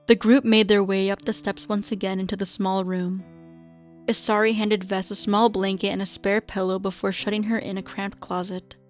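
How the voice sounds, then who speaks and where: Mid-pitched woman narrating radio drama. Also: flat delivery, normal speed, soft background music.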